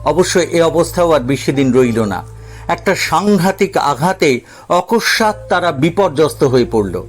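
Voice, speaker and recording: Male narrator, somewhat high pitch, scripted dramatic storytelling, faint background music. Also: expressive, unhurried.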